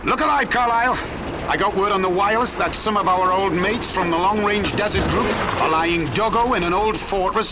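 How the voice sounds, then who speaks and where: An actor playing a brisk, commanding British army officer in a war video game, giving urgent clipped orders. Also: male voice, high voice, noisy background. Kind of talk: video game military acting. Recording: loud music over voice.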